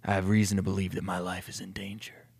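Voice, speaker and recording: A man voicing a grizzled, stressed vampire police chief in a slow, grave, hushed, deep and gravelly voice. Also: level tone, full character voice. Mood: grave, worried. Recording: reverberant room.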